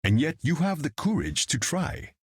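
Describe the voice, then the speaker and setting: An actor playing a solemn, noble ruler in a video game, speaking with grave, stately weight. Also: male voice, fairly high pitch, sing-song, normal speed, husky, no room sound, studio quality. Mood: solemn, respectful. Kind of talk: video game character voice lines.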